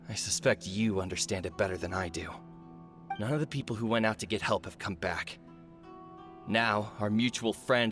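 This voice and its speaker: A man's voice: fairly low pitch, fairly bright tone, natural voice. Man acting grave, resolute forge overseer, music behind. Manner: solemn, accusing, deliberate. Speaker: video game character. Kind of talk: dramatic video game voice acting. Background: background music.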